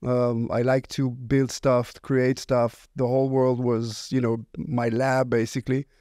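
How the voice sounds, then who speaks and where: A man's voice: mid-range voice, flat delivery, a little slow, dark tone, no echo, studio quality. A middle-aged man with an Israeli accent, answering interview questions as a company founder in a rambling, conversational way. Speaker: company founder, podcast guest.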